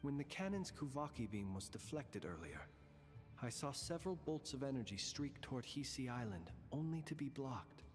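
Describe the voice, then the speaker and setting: A man playing a courteous, formal and solemn character in a video game, speaking politely and deliberately. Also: medium pitch, big pitch swings, close to an everyday voice. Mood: politely inquiring. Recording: long hall reverb, music underneath.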